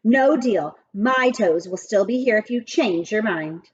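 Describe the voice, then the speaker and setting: A woman's voice: mid-range voice, animated, unhurried, breathy edge, dull audio. A woman reading a children's storybook aloud, voicing a stubborn, earnest young schoolgirl who protests emphatically. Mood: indignant.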